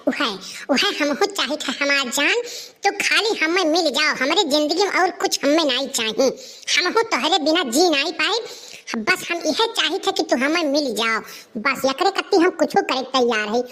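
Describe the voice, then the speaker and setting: A woman's voice: high-pitched, animated, normal speed, a bit bright. A woman voicing a clingy, devoted village sweetheart in a comedy cartoon, gushing sentimentally with an Awadhi accent. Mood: besotted, gushing.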